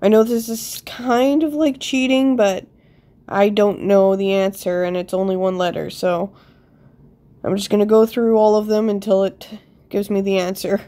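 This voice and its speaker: A woman's voice: mid-range voice, steady tone, moderate pace, warm tone. A woman with a stuffed-up nose casually thinks aloud over a puzzle game, a little self-deprecating. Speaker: player commenting on own gameplay.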